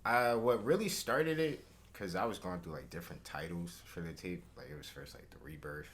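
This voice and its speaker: A young man being interviewed as a recording artist, talking in a relaxed, slangy way and thinking aloud with fillers. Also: sits low, moderate pace, a little hiss or hum. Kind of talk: casual interview chat.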